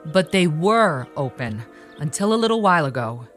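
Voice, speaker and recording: An actress playing a worried, weary daughter in an old drama. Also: female voice, sits low, very animated, moderate pace, faint music behind. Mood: anxious, subdued. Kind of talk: mid-century television drama acting.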